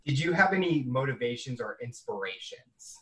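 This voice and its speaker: A man's voice: medium pitch, lively intonation, normal speed, bright, forceful tone, distant mic, slight background noise. A young adult man hosting an interview podcast, asking friendly, long questions. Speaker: podcast host.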